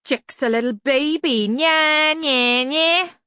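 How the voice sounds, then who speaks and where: A spiteful, childish male thug, put on by a woman, jeers in a storybook. The voice jumps high into a mocking playground sing-song. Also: slow pace, pushed and bright, dry recording, heavily acted. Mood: childish jeering. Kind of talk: audiobook character voice.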